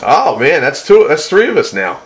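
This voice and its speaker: A man's voice: on the high side, big pitch swings, moderate pace. A man chatting casually and in a folksy way as an operator over a two-way CB radio. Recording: noisy two-way radio transmission.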